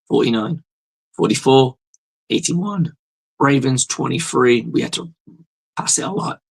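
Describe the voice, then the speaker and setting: A male host with a hoarse voice, giving a blunt, profane, rambling fan's reaction in a casual sports monologue. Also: mid-range voice, low-quality recording.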